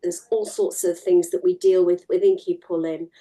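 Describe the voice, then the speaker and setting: A woman's voice: lowish voice, flat delivery, moderate pace, warm tone, low-quality recording. A woman who works in mental health, explaining her field steadily during a group discussion.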